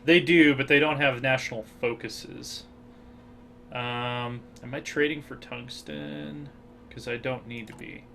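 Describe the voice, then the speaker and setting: A man's voice: mid-range voice, steady tone, unhurried, bright, forceful tone. Man, american accent, casual game commentary, faint background hiss. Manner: thinks aloud with fillers. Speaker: gaming channel host.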